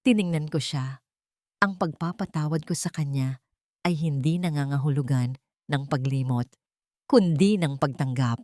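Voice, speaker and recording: A woman narrating a scripted sentimental story in a measured, earnest way. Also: low-pitched, a little mellow, no echo, clean studio sound. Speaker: storyteller, narrator of audio drama. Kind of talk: scripted dramatic first-person narration.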